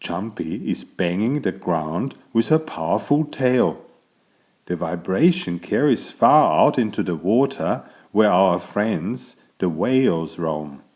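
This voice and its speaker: A man narrating a children's bedtime story in an expressive, deliberate way. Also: deep voice.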